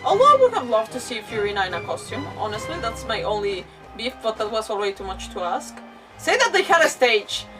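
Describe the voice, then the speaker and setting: A woman with a fairly high voice chats casually through a game livestream. She rambles with animated asides. The mic sounds a little distant and game music plays clearly behind her. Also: brisk. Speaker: game streamer. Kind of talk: casual chatty livestream commentary. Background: video game music.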